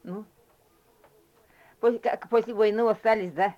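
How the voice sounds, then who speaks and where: A woman's voice: medium pitch, fairly even intonation. Hesitant old village woman, lo-fi. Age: older. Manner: shy and hesitant, full of sighs and short halting answers. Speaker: interviewee, former stable hand. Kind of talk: hesitant spoken memories. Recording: lo-fi field recording.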